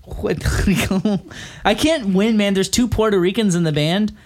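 A man's voice: sits high, moderate pace. A man co-hosting a podcast in casual chat over beers, rambling through a story and laughing often. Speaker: podcast co-host.

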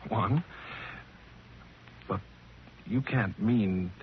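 An actor playing an earnest, morally resolute guest, appalled and hesitant, in an old-time radio drama. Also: male voice, lowish voice, very slow. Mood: incredulous. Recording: muffled, noisy vintage radio recording.

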